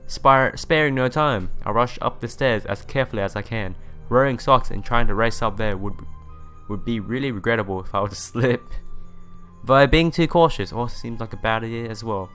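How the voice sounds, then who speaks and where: A man's voice: mid-range voice, moderate pace, a bit bright, soft background music. A man narrating a visual novel in an earnest, dramatic read-aloud manner. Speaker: let's play narrator.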